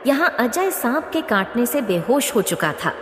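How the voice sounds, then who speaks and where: A woman's voice: a little high, big pitch swings, normal speed, fairly bright tone. A woman narrating a scripted cartoon moral story as its storyteller. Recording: echoey, long reverb.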